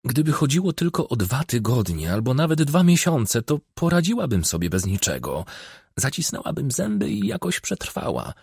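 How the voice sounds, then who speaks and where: A man's voice: medium pitch, big pitch swings, normal speed, gravelly, darkish tone, husky, no echo, studio quality, voice pushed up, exaggerated voice. A man voicing a troubled, discontented young woman with a complaining, pleading manner in an audiobook.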